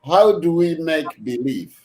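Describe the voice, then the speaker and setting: A man hosting a video call discussion, measured and unhurried, with many fillers as he thanks his guests. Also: a little high, lively intonation, a little hiss or hum. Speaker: host.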